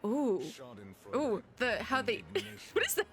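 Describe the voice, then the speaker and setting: A woman's voice: fairly high pitch, very animated, takes it slowly, a bit bright. A woman hosting a casual gaming reaction video, chatty and rambling, with playful exasperation. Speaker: reaction video host and VTuber. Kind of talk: casual reaction chat to gamers. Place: indoors at a home computer setup.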